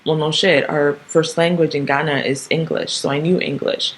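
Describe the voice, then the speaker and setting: A woman's voice: low-pitched, steady tone, moderate pace, poor audio. A woman in her thirties who grew up in Canada talks through a casual solo podcast monologue, rambling with frequent ums, restarts and tag questions. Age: 30s. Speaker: podcast host.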